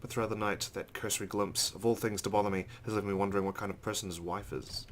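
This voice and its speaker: A man's voice: fairly low pitch, little pitch movement, fast, a little hiss or hum. A man hosting a let's play, reading a visual novel's narration aloud with casual asides.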